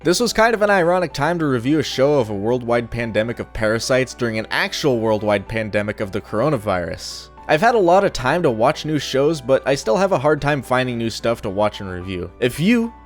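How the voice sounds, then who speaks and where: A man's voice: mid-range voice, very animated, fairly quick, few pauses, soft background music. A young adult man reading a scripted review in a casual, opinionated, sardonic way. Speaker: video reviewer.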